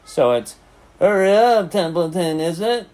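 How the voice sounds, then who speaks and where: A man's voice: sits high, animated, measured pace, darkish tone, pitched above the performer's own voice. A grumbling storybook rat played by a man gripes in a raised, swooping voice. He is sarcastic and mocking, forever feeling sorry for himself and theatrically hard done by. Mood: sarcastic, aggrieved. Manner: mocking, griping, theatrically aggrieved. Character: grumbling, sarcastic, self-pitying male rat. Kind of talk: animated children's storybook reading.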